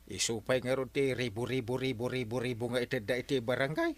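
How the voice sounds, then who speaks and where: A man's voice: medium pitch, fairly even intonation, fast, close to an everyday voice. A man playing a bumbling middle-aged village captain in a comedy radio drama, exclaiming and easily flustered. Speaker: barangay captain.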